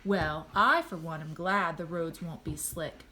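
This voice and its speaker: A woman's voice: sits low, a little slow, bright, forceful tone, slight background noise. A woman voicing a practical, matter-of-fact father in a storybook read-aloud. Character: practical, unimpressed father of a young boy.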